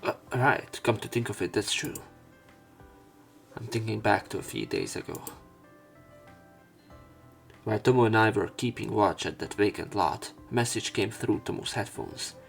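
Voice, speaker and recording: A man's voice: lowish voice, moderate pace, creaky, pauses often, faint music behind. A man narrating a visual novel let's play, reading the text aloud and adding his own asides.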